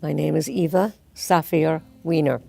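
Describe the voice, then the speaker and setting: A woman in her eighties from Germany, giving her life story in a measured, articulate way for an oral history. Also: sits low, fairly even intonation, gravelly, mellow, soft background music. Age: 80s. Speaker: Holocaust survivor giving testimony.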